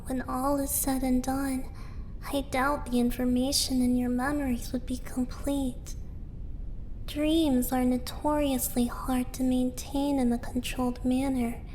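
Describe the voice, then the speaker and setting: Woman acting a high-voiced, pensive scholar. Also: a little mellow, slight background noise, rough recording. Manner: measured, articulate, pensive. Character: thoughtful, scholarly female sage, analytical and curious. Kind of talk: audio roleplay acting.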